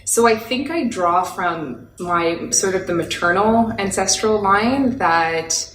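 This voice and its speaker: A woman artist being interviewed, answering thoughtfully and gently, with small hesitations. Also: mid-range voice, slow, slight background noise. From Canada. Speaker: guest artist. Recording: roomy, distant from the mic.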